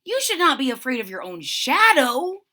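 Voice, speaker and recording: Woman as a brave, high-voiced boy kitten. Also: big pitch swings, moderate pace, bright, forceful tone, heavily acted. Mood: kind, coaxing. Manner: encouraging, firm. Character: brave, protective young boy kitten, a kindly brother. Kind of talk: children's storybook read-aloud.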